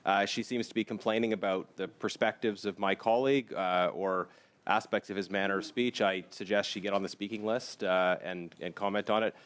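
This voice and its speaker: Deep-voiced, dry Canadian man debating procedure. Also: fairly even intonation, normal speed, a bit bright, smooth. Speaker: member of parliament. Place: committee meeting room.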